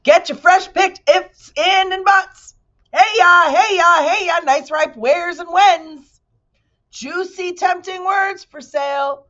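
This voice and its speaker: A woman voicing a pushy male market salesman from a storybook, calling out drawn-out sales pitches, in a dull recording. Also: bright, forceful tone, exaggerated voice. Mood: loud hawking. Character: booming male market hawker, loud and pushy.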